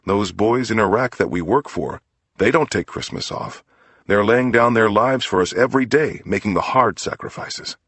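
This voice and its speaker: Audiobook role, read by a man: pious, folksy family man and preacher's son, very low and husky, smoothly self-righteous. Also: moderate pace, a bit bright, no room sound. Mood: earnest pleading.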